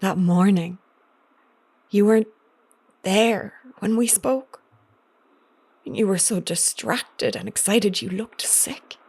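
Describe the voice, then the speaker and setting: A determined female investigator in an audio drama. Her voice is low, and she talks in a wry, pointed, probing way with a wounded edge. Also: a little mellow, breathy edge, exaggerated voice. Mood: hurt, pained. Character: determined woman investigator, wry, wounded, confronting former partner.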